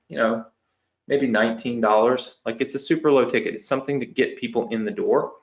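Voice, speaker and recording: A man's voice: mid-range voice, dull audio. Man losing his voice, coaching. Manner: tag questions after each point, explaining step by step. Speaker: online business coach. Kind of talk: whiteboard training lesson.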